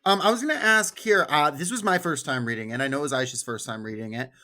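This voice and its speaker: A man's voice: fairly high pitch, quick pace, sharp tone. A man co-hosting a podcast in casual chat, laid-back and quippy. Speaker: podcast co-host.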